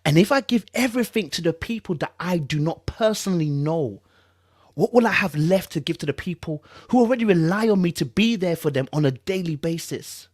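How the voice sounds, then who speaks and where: A man's voice: a little high, lively intonation, fairly quick, a little mellow, no room sound, clean studio sound. A man doing a pompous, wounded man, theatrically dramatic. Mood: impassioned. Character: wounded, self-important man making a grandiose statement. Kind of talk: mock dramatic reading.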